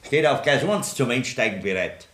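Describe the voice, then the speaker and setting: An actor playing an officious railway official making formal announcements in a radio play. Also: male voice, mid-range voice, animated, normal speed, fairly bright tone, lightly acted. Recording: roomy echo, slightly distant.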